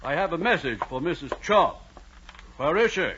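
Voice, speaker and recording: An actor playing a brisk, fussy, bossy male doctor in an old-time radio drama. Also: on the high side, expressive, noisy background, close to an everyday voice. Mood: businesslike. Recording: dull, lo-fi old radio recording.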